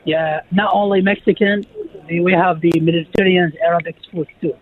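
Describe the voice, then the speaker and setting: A man from Iraq calling in by phone, a little hesitant and quick to laugh. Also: sits high, fairly even intonation, a little hiss or hum. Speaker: call-in guest. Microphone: phone line. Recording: muffled phone line.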